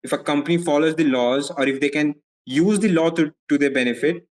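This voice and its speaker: A young man from India with an Indian accent, answering interview questions as a legal advisor in a measured way, often repeating words as he thinks. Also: fairly high pitch, a little mellow. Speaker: foreign legal advisor, guest.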